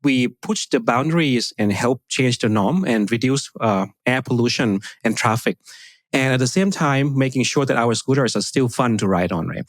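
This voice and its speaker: A man's voice: mid-range voice, normal speed, dry recording. A man from Thailand, a company founder, answering interview questions in an earnest, plain way with small grammar slips. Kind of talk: podcast interview.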